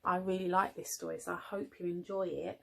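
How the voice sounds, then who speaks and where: A woman's voice: lowish voice, level tone, brisk, gravelly, fairly bright tone. A woman, an early years teaching assistant at home, encouraging young children and asking them questions as she reads a story.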